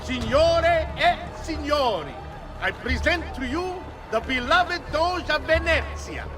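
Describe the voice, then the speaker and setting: A man's voice: very high-pitched, animated, slow pace, heavily acted. An actor playing a smug, gloating nobleman in a video game, speaking in a sneering, theatrical way. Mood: ceremonious. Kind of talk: video game cutscene acting. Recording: crowd and music behind. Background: crowd and music.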